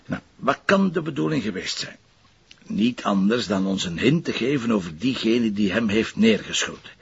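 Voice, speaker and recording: A man's voice: fairly low pitch, lively intonation, moderate pace, dull audio, heavily acted. An actor playing an insistent, impatient Flemish police detective in a TV crime drama, explaining his case and cutting others off. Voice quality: husky, gravelly.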